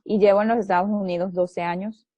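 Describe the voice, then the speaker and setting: Venezuelan woman, interviewee, hesitant, mellow tone, muffled. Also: medium pitch, fairly even intonation, brisk, no echo. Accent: Venezuelan Spanish. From Maracaibo, Venezuela. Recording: dry, muffled sound.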